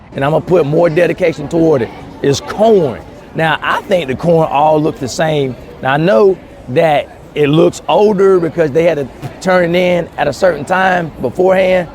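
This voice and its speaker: A man talking casually and excitedly to viewers as a gardening host, emphatic and repeating key words, recorded outdoors. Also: a little high. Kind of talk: casual, enthusiastic talk to camera.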